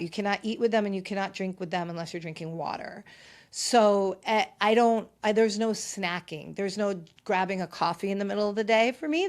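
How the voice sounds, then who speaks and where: Woman, slight lisp, chatty sarcastic podcast host, medium pitch. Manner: chatty, sarcastic, rambling asides. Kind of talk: casual solo podcast chat.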